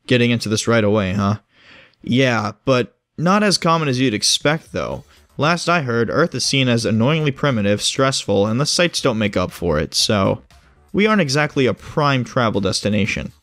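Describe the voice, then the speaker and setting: Guy doing a smug easygoing agent type in an audio drama, middle pitch, bit of music under. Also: lively intonation, moderate pace, a bit bright, no room sound, close to an everyday voice. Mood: breezy, amused. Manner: casual, glib, explanatory. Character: breezy, smug male agent who knows hidden things.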